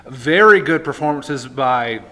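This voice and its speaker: A man's voice: medium pitch, animated, unhurried, sharp tone. A man hosting a community theatre podcast, chatting casually and rambling a bit. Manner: rambling, self-interrupting, chatty. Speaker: podcast host. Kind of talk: casual interview chat.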